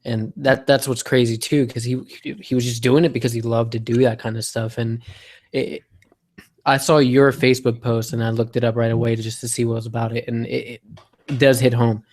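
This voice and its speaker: A man's voice: medium pitch, flat delivery, a little fast, a little mellow. Male co-host, mid-range voice, informal conversational delivery that circles back over its own phrases. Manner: conversational, repeats himself. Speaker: podcast co-host. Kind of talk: casual chat.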